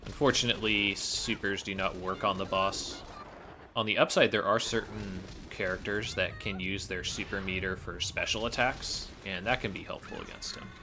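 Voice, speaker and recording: A man's voice: sits low, steady tone, normal speed, pushed and bright. A man giving explanatory commentary on his video game speedrun. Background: game music.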